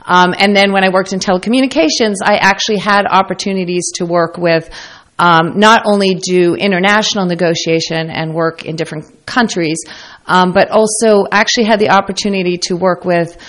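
A woman's voice: lowish voice, fairly even intonation, fairly quick, a bit bright. An American woman speaking conversationally as the guest in a radio interview. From United States. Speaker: interview guest, company founder.

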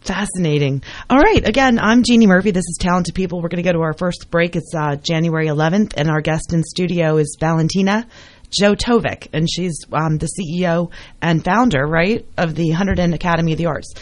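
A woman's voice: fairly low pitch, a little fast. A woman hosting a radio talk show, asking questions with eager exclamations. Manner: enthusiastic, exclamatory. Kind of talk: casual radio interview.

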